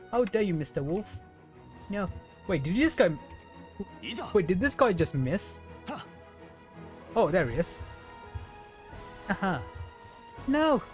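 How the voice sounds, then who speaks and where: A streamer commentates casually on a game as they play. The voice sits at a middling pitch and is chatty, with playful exasperation. Music plays behind the talk. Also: darkish tone.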